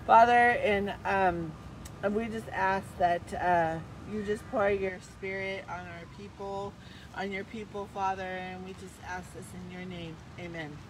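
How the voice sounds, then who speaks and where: A woman's voice: mid-range voice, steady tone, unhurried, background noise. A woman with a strong, carrying voice prays aloud at the end of a church service, speaking with passion and without a microphone. Her prayer flows freely and keeps returning to "Father" and "we just ask.". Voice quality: powerful voice. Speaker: church member leading prayer. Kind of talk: spontaneous closing prayer.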